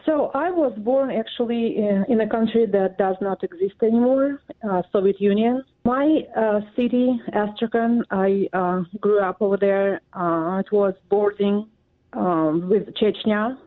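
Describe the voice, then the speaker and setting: A woman in her forties with a Russian accent, a native Russian speaker giving halting answers with many pauses and fillers. She is heard over a thin, tinny phone line that cuts off the lows and highs, and her pitch sits in a middle range for a woman. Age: 40s. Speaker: guest. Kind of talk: interview answers.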